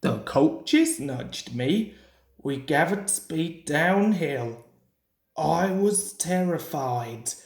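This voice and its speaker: A woman voicing a modest, thoughtful little male mountain railway engine from a storybook, gentle and earnest. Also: sits low, lively intonation, extremely slow, heavily acted. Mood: reminiscing. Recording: roomy, echoing home recording.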